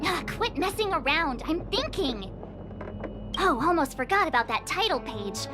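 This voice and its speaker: A young woman voicing a playful, eager detective heroine in a game dub, bouncy and animated. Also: high voice, normal speed. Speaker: player character. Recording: game dub over music.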